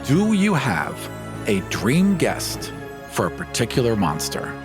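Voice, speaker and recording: A man's voice: mid-range voice, huge pitch swings, measured pace, over music. A man talking as the co-host of a podcast. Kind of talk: casual podcast chat.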